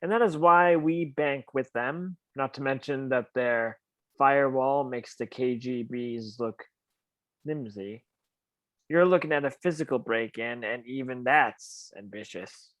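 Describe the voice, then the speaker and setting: A man's voice: medium pitch, a little slow, a bit bright, dry recording, natural voice. A man reading a cool older spymaster. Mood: matter-of-fact. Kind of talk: table read.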